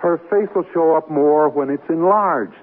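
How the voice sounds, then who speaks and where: An actor playing a wry, mildly sheepish newlywed husband in an old-time radio comedy, bantering. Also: male voice, on the high side, normal speed, narrow, tinny audio, lightly acted. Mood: affectionate teasing. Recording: thin, hissy vintage radio recording.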